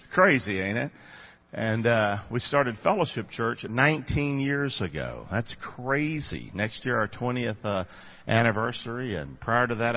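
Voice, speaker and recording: A man in his fifties with a Southern American accent, preaching a casual, chatty sermon and talking directly to his congregation. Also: medium pitch. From North Carolina, USA. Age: 50s. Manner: folksy, chatty, talks to the congregation. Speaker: pastor. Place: church sanctuary. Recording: dull audio with long reverb.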